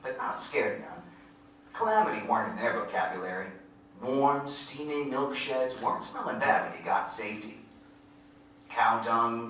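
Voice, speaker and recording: A man's voice: mid-range voice, bright, forceful tone. A man playing a folksy country narrator with a rural American accent, telling a vivid story in a staged reading. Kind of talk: dramatic monologue in staged reading. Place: indoor venue, staged reading. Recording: very echoey room from far off, noisy.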